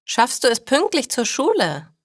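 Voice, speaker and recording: A woman voicing a caring, worried mother in a storybook, gently doubting and questioning. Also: sits high, huge pitch swings, unhurried, no echo, studio quality, voice pushed up, full character voice.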